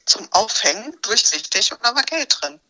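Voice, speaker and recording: A woman's voice: fairly high pitch, normal speed, low-quality recording. A woman in her thirties chatting as a podcast guest, telling stories with plenty of laughter. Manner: laughing, lively storyteller. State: busy mother with young children. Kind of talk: casual chat.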